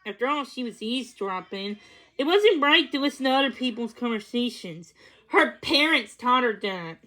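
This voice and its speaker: A woman reading a children's story aloud, expressive and a little halting, with small stumbles. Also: a little high, rough recording. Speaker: storybook reader.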